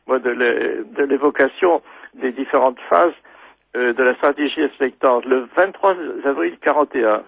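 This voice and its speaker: An older man with a gravelly, husky voice, lecturing haltingly as a guest historian, repeating words and insisting on his digressions. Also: medium pitch, no echo. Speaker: guest military historian, retired general. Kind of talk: radio lecture from notes, reading quotations. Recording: thin, dry phone-like line.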